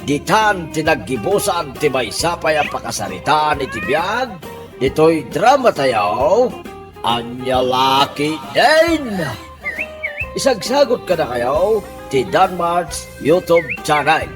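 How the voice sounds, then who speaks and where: A man's voice: on the high side, very animated, takes it slowly, over music. A man with an Ilocano accent reading a scripted programme outro as a radio drama announcer.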